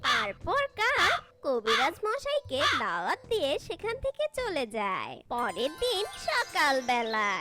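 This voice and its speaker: Very high, bright female storyteller. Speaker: narrator. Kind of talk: animated children's storytelling, scripted narration.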